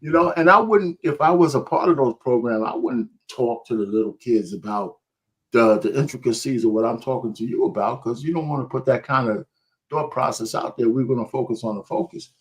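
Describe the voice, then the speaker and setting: A man's voice: mid-range voice, brisk, breathy edge, rough recording. A man arguing his point in a heated podcast debate, emphatic and often repeating himself. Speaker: panel guest.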